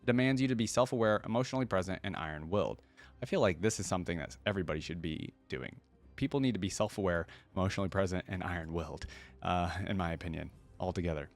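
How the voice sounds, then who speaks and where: Low-voiced man doing casual reaction commentary. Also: quick pace. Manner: slangy, addresses viewers as guys. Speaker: reaction video host.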